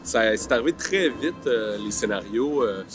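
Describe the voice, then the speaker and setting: A man, giving halting interview answers outdoors. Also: medium pitch, expressive. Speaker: actor being interviewed. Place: outdoor park film set. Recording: music clearly under the voice.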